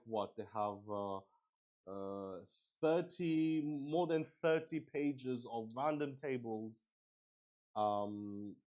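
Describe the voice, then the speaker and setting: A man hosting a solo tabletop roleplay livestream, explaining casually in a halting, rambling way as he thinks aloud to his viewers. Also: fairly low pitch, darkish tone.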